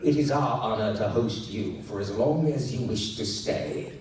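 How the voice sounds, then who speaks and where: A man voicing a majestic emperor, making slow, formal, booming proclamations to crowds in a fantasy audiobook. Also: slight background noise, close to an everyday voice. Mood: gracious, formal. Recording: echoey hall, distant handheld recording.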